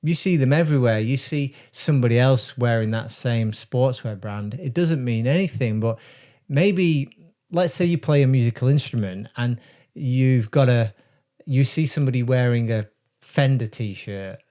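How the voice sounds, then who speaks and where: Male brand consultant, mid-range voice with a soft dark timbre, relaxed and thoughtful. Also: mid-range voice, moderate pace. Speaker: brand strategist. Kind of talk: casual explanatory talk to camera.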